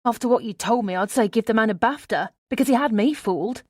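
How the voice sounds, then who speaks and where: Woman narrator as distraught female friend, blunt, incredulous, raised pitch, emotional, audiobook dialogue, dry sound. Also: quick pace, darkish tone, no echo, lightly acted. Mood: hurt, resentful.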